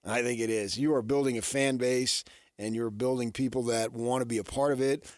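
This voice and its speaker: A man's voice: mid-range voice, fairly even intonation, a little fast, no room sound. American man hosting opinionated sports radio, dry sound.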